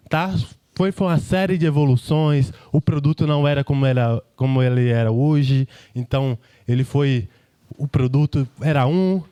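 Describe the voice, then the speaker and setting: A man's voice: a little high, dark tone, dry recording. A Brazilian man giving an informal, jokey tech meetup presentation in colloquial speech full of tag questions. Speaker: engineering team speaker.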